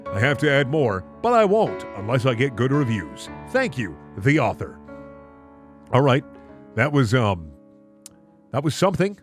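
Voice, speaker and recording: A man's voice: mid-range voice, big pitch swings, a little slow, pauses often, faint music behind. A man hosting a comedic reading, switching between mock-dramatic narration and casual, sarcastic commentary. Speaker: host reading and reacting to fan fiction.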